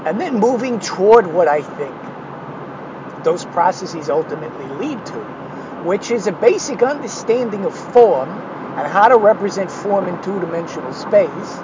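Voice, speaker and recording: A man with a hoarse, failing voice talking through an art lesson, digressive and hesitant with many fillers and sardonic asides. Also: sits high, highs cut off, noisy background. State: hoarse, losing his voice. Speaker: art instructor. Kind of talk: rambling spoken art lesson.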